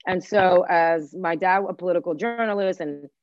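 Low-voiced woman, dull lo-fi audio. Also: normal speed, dry recording. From born in Cuba, grew up in Washington D.C. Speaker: interview guest, chef. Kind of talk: casual conversational interview.

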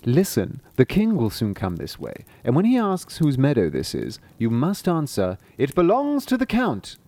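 A man voicing a clever, scheming talking cat in boots, bossy with peasants and flattering to a sorcerer. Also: medium pitch, moderate pace, no room sound, voice pushed up. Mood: commanding. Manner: bold, coaxing, commanding.

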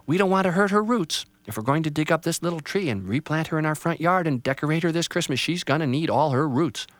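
Gentle practical mom, lightly raised, steady, man reading. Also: on the high side, fast, no echo, voice pushed up, natural voice. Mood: caring. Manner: calm, careful instructions. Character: caring mother, gentle, practical.

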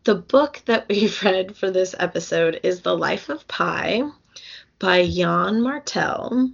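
A young woman chatting slowly on a casual podcast, her voice gravelly and starting to go. She rambles, circling back with fillers and restarts. The recording is dull and lo-fi. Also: fairly low pitch. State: losing her voice. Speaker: podcast co-host. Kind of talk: casual book podcast chat.

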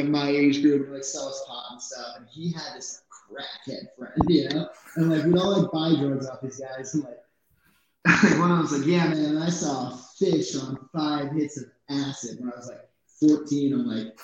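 Relaxed twenty-something man, podcast guest, loose and chatty, muffled echoey line with crowd noise behind. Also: a little high. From New Jersey. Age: 20s. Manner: laid-back, laughs often, many fillers. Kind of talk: casual chat.

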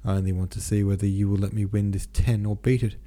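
A man's voice: lowish voice, level tone, quick pace, mellow, natural voice. A man reading the part of a distraught young Russian count in an audiobook, anguished and questioning. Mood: feigned indifference. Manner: anguished, questioning inner monologue.

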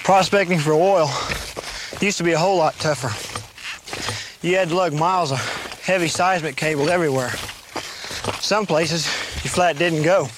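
A plain-spoken man gives a radio testimonial in a folksy way. His voice is fairly high for a male, with clear noise behind him. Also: normal speed. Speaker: oil company field worker in an advertisement.

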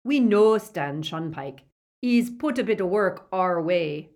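A woman's voice: on the low side, expressive, takes it slowly, darkish tone. A woman voicing a suspicious male thug in an audiobook, sneering and taunting as he questions captives. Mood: scornful.

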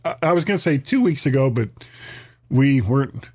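A man's voice: mid-range voice, expressive, moderate pace, darkish tone. A man with a scratchy, strained voice chatting casually as a podcast co-host.

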